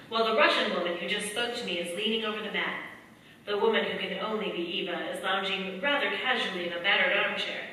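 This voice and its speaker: A woman's voice: medium pitch, sharp tone, natural voice. A woman playing an earnest, flustered young American factory worker in a staged 1940s-style radio play, hesitant and wryly candid. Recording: echoey hall, distant stage mic, faint noise.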